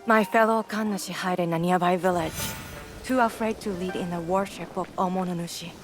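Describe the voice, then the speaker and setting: A woman voices a devout shrine priestess who speaks in a measured, solemn way, her voice sitting a little low. Soft game music runs under her. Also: fairly even intonation, close to an everyday voice. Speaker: game character. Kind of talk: video game cutscene acting.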